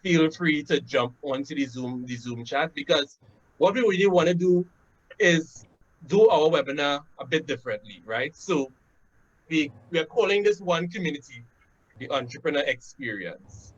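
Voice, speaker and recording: A man's voice: a little high, expressive, normal speed. Trinidadian male host, 30s, casual chatty webinar intro, dull laptop-call sound. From Trinidad and Tobago. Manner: conversational, frequent "right?" tags and "um" fillers. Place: home room, indoors. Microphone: laptop webcam on a video call. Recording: dull lo-fi video call audio.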